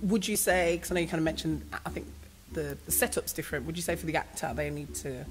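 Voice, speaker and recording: A woman's voice: low-pitched, quick pace. A woman hosting a casual panel chat, with a powerful, carrying voice, reflective and thinking aloud. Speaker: host.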